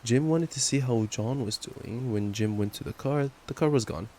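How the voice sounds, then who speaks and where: Some rain noise sits behind a young man with a mid-pitched voice. He plays an affectionate boyfriend in an ASMR bedtime reading, stumbling playfully and drifting into giggly asides. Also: normal speed, darkish tone. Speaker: ASMR roleplay performer playing a boyfriend. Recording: noisy track with ambient rain sounds. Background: rain ambience.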